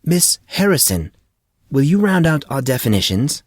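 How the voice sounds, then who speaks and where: Man acting formal schoolteacher, raised pitch. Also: sing-song, normal speed, no echo, clean studio sound. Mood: questioning. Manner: formal, precise questioning. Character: male schoolteacher, formal, probing, commanding in class.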